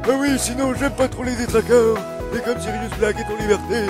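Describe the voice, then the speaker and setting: A man's voice: very high voice, steady tone, fairly quick. A man voicing a breezy old wizard headmaster making glib announcements in a comic fan parody dub. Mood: breezy, announcing. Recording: music under the voice.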